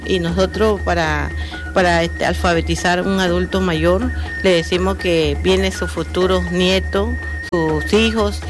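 A woman speaks in a radio studio, pausing often with fillers and restarting her sentences. Her voice sits fairly low for a woman. Music plays clearly underneath her. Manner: hesitant, many fillers and restarts. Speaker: adult literacy tutor. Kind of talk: radio interview answers.

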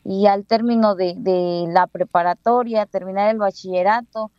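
A woman's voice: medium pitch, steady tone, moderate pace, clean tone, no echo, lo-fi sound. A Mexican woman schoolteacher in her thirties with a Mexican Spanish accent, telling her story in an interview with many fillers and restarts. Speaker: bilingual schoolteacher.

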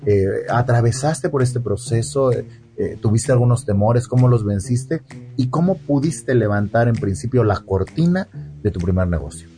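A man with a Mexican accent hosting a conversational interview, repeating words haltingly as he forms his questions. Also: medium pitch, lively intonation. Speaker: interviewer, host.

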